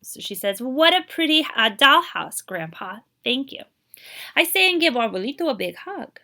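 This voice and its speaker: A woman reading a children's picture book aloud in an expressive, emphatic way, moving between English and Spanish as she explains for young listeners. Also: high-pitched, moderate pace.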